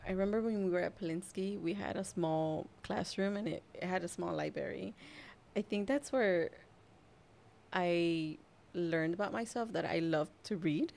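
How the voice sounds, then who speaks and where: A young woman from Mexico whose first language is Spanish, a mother sharing her story as a podcast guest in a soft, hesitant way with frequent laughs. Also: lowish voice, moderate pace, vocal fry. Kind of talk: casual personal storytelling.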